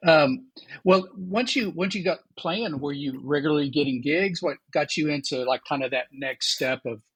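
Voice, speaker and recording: Husky male podcast host. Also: fairly high pitch, moderate pace, a bit bright, dry recording, rough recording. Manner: brief affirmations, friendly questions. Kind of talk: casual interview conversation.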